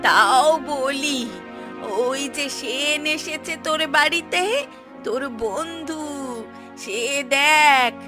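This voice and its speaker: A woman voicing a scolding, sharp-tongued mother and housewife in a Bengali cartoon, with emphatic, nagging delivery. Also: high-pitched, extremely slow, over music. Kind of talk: cartoon voice acting.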